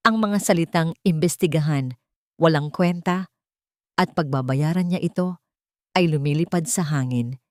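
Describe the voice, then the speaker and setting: Filipina woman reading an audio drama story, lowish voice, solemn n emotive, super dry close sound. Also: normal speed, pauses often, a little mellow, no room sound. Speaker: narrator.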